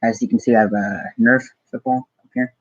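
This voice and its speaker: A man's voice: mid-range voice, steady tone, fairly quick, no echo, highs cut off. A teenage boy with an Arizona accent, hosting a casual live-stream Q&A from his room, reading out viewer questions and answering with many ums and likes. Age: teens. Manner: hesitant, lots of ums and likes, reads questions aloud then answers offhand. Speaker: small YouTuber hosting a live Q&A. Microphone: computer mic.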